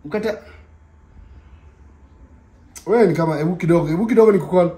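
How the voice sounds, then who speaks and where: A man's voice: high voice, a little fast, warm tone, natural voice. A man playing a defensive boyfriend in a comedy skit, speaking with a Kenyan accent. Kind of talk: scripted comedy skit dialogue, mixing Swahili and English. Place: living room indoors, sofa. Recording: roomy, distant pickup with music.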